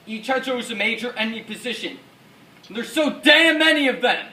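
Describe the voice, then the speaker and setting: A man's voice: very high voice, expressive, brisk, bright, forceful tone, exaggerated voice. A man playing an American Second World War paratrooper officer, recalling battle in an earnest, declamatory stage monologue. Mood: solemn, resolute. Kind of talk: rehearsed dramatic war monologue. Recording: distant echoey room, faint noise.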